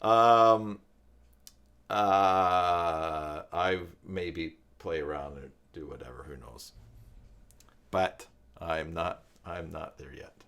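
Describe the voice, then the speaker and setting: Low-voiced middle-aged man chatting on stream. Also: very slow, fairly bright tone, clean tone. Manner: rambling, thinking aloud. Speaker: live stream host, self-employed web tutor. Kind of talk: casual live stream chat, reading out viewer comments.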